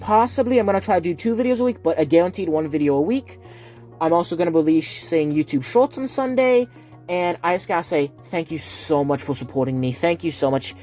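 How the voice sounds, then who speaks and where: A man's voice: on the high side, darkish tone. Southern man with a heavy lisp. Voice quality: heavy lisp and speech impediment. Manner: rambling, earnest, with fillers. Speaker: YouTuber. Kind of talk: casual chat to viewers. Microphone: phone.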